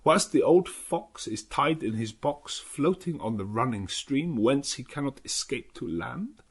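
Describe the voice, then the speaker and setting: A man's voice: medium pitch, expressive, measured pace, slightly rough. A man voicing an earnest old professor with solemn, foreign-sounding phrasing, in an audiobook. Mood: resolute. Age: older. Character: old Dutch professor, earnest, grave, kindly vampire hunter.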